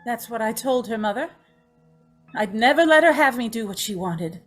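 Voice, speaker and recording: An actress playing a frightened, gentle young woman, tormented and pleading, in old-time radio horror style. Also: a little high, animated, normal speed, soft background music. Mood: fearful, weary. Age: young adult. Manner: pleading, tremulous, dramatic.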